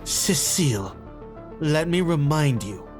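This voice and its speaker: A man plays a young school boss in a comic dub, cold and condescending. He speaks slowly and deliberately with quiet menace, over prominent music. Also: on the high side, sing-song. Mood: cold, threatening. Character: cold, commanding, condescending teenage school leader. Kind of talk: amateur comic dub acting.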